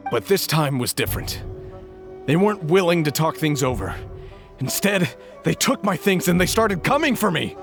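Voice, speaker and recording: A jittery middle-aged merchant, stammering and still shaken after a robbery. His voice sits a little high, and clear game music plays behind him. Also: big pitch swings, normal speed. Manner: nervous, stammering, anxious. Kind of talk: game voice acting.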